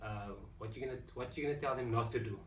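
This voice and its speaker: A man's voice: mid-range voice, fast talker, fairly bright tone. A South African man hosting a casual interview, asking loose, chummy questions that stop and restart. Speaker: interviewer host. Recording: roomy, distant mic, faint hiss.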